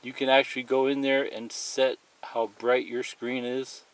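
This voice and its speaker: A man's voice: medium pitch, flat delivery, measured pace. A man giving a casual, plain-spoken, step-by-step demonstration as a tutorial presenter. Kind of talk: casual hands-on product demonstration. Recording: clearly noisy amateur recording, clicks and beeps.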